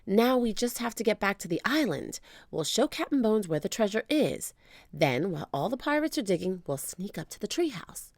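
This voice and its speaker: A woman's voice: medium pitch, big pitch swings, normal speed, no room sound, clean studio sound, voice pushed up. A woman voicing an earnest, easily startled young boy in a children's storybook.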